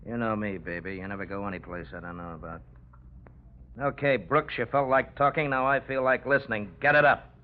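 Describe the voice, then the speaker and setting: A man's voice: on the low side, steady tone, fairly quick, natural voice. Menacing radio-drama tough guy played by a man. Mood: cold, controlled. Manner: cold, slangy, threatening. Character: menacing, jealous male club-owner tough guy. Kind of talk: 1940s-style radio crime drama acting. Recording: dull, faintly noisy old radio recording.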